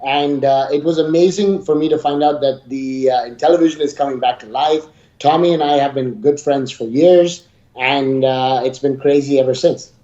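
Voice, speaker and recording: A man in his thirties gives chatty, emphatic interview answers. His voice sits a little high and is soft-edged and mellow. The recording is muffled, with clear room echo. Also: moderate pace. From Dubai, UAE. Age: 30s. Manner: talkative, informal, emphatic. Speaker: interview guest, gaming company regional director. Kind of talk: enthusiastic conversational interview answers. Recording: muffled, echoey room sound.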